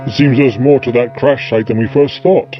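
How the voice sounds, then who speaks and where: A man's voice: medium pitch, fairly even intonation, normal speed, highs cut off, faint music behind, natural voice. An actor in an audio drama playing a glib, unflappable, eccentric male time traveller with a wry, evasive, flippant manner.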